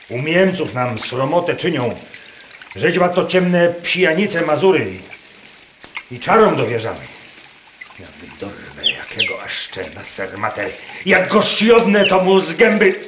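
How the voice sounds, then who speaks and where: Slow, pious peasant man, roomy barn. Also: on the low side. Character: troubled Masurian peasant preacher, pious, brooding, indignant. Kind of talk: dialect radio drama acting. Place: wooden barn performance space. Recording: roomy barn, noisy with water clicks.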